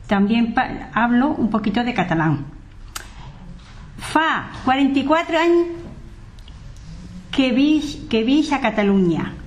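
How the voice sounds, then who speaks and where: A woman from Granada reads a short self-introduction as a student, halting and restarting. Her voice is fairly high and slow. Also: dull audio, a little hiss or hum. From Granada, Spain. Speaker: Catalan course student.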